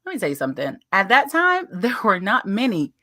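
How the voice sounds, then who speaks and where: An American woman in her late thirties, a guest telling her travel story in a casual interview, animated with playful asides and laughter. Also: mid-range voice, a little fast, no room sound, clean studio sound. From United States.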